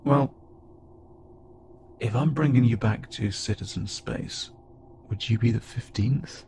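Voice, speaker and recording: A man playing an awkward, gentle insect-like alien pilot who speaks haltingly and unsure of himself, in an intimate audio roleplay. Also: medium pitch. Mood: curious, softening. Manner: hesitant, halting, stammering and self-doubting. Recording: clearly noisy, with ambient sound bed.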